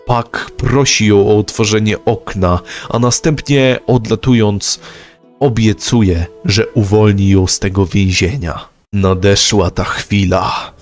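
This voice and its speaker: A man narrating a scripted story recap. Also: lowish voice.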